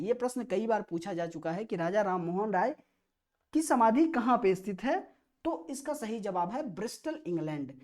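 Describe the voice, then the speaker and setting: High-pitched Indian woman teaching. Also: darkish tone. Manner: emphatic, repeats key phrases for learners. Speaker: exam coaching teacher. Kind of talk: quiz-style history lesson, questions read out and explained.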